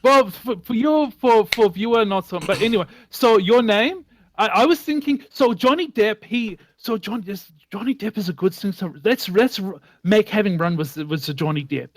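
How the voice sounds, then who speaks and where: A man with a foreign accent bantering in broken, halting English, repeating words and bossing the other person around. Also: high voice, no echo. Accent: foreign, non-native English. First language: not English. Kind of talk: bantering improvised comic chat.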